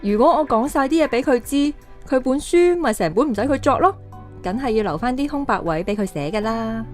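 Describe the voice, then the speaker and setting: A young actress playing a gentle woman haunted by lost love in a radio drama, with a Hong Kong Cantonese accent. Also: a little high, expressive, fairly quick, soft background music, close to an everyday voice. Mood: wistful, gentle. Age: young adult.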